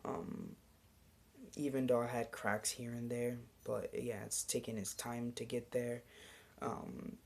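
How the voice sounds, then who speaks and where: A young man talking casually to his camera in a video diary, relaxed and rambling with lots of ums and the odd whispered aside. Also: medium pitch, takes it slowly, gravelly. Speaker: vlogger.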